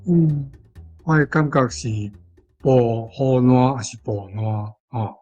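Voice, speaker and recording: A man's voice: medium pitch, takes it slowly, darkish tone, muffled recording, faint music behind. A man with a Taiwanese accent speaking as a language teacher, explaining wording piece by piece. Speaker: language teacher giving model translations.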